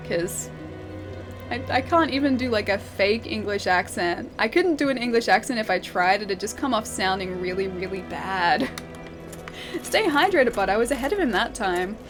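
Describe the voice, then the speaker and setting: Chatty Australian woman, slightly high voice. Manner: chatty, laughs often, thinks aloud with many fillers. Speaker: game streamer. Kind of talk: casual live-stream chat, reading chat aloud.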